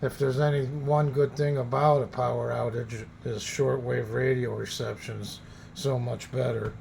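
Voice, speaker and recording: A man's voice: medium pitch, little pitch movement, unhurried, vocal fry, a little mellow. A man hosting a hobby video, chatting casually and informally to his viewers. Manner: informal, folksy, addressing viewers as guys. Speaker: host of a radio hobby video channel. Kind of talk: casual vlog demonstration chat. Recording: faint background noise.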